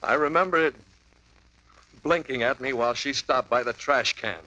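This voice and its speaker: An actor voicing a rattled man who wavers between dazed mumbling and near-hysterical insistence. His voice is bright and sharp-edged. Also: medium pitch, normal speed, dry recording, close to an everyday voice, rough recording. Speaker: client telling a story. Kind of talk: 1950s radio drama acting.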